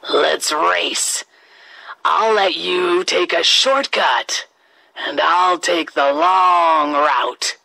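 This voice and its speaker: Woman voicing a hoarse, sly storybook big bad wolf. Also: lowish voice, extremely slow, pushed and bright, full character voice, low-quality recording. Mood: sly coaxing. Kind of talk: children's storybook character voice.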